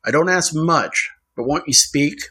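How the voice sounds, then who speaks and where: Upbeat guy hosting a podcast, kinda high bright voice. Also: a little slow, husky. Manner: enthusiastic, conversational. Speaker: podcast host. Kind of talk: casual chat.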